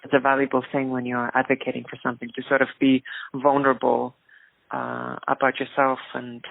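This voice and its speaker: A woman's voice: very deep voice, completely flat delivery, brisk, gravelly, no echo, narrow, tinny audio. A woman from rural Iceland with an Icelandic accent, talking thoughtfully and hesitantly as an interview guest. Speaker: interview guest, activist and filmmaker. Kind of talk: reflective interview talk.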